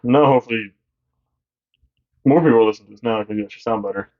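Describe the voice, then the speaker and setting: A young man with a deep voice co-hosting a podcast in casual chat, rambling and halting with many fillers. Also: dull audio. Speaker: podcast co-host.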